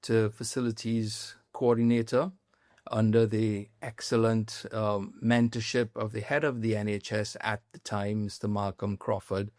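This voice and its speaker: A middle-aged man with a Trinidadian accent, a podcast guest talking politely and at a measured pace, with frequent ums. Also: lowish voice, flat delivery, darkish tone, no room sound, studio quality. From Trinidad and Tobago. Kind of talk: podcast interview.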